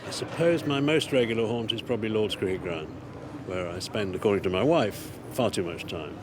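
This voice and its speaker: A middle-aged man with a fairly low voice answers a street interviewer in a dry, matter-of-fact manner. Traffic and street noise are clearly heard behind him, and his tone stays plain and understated. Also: animated, fairly quick. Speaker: passer-by interviewee. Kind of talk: casual chat.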